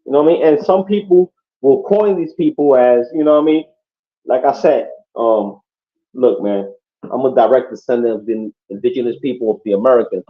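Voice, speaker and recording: A man's voice: medium pitch, brisk. Profane, emphatic man, muffled lo-fi sound. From Puerto Rico. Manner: profane, emphatic, keeps asking "you know what I mean". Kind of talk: casual podcast talk. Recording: muffled lo-fi audio.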